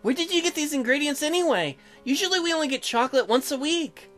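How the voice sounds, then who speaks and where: Guy doing a high swoopy young wife from a visual novel, blunt n easily miffed, music behind. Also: animated, fast, fairly bright tone. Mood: curious, softened. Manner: indignant, questioning. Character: young newlywed woman colonist, blunt and easily put out. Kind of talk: hammy visual novel character read-out.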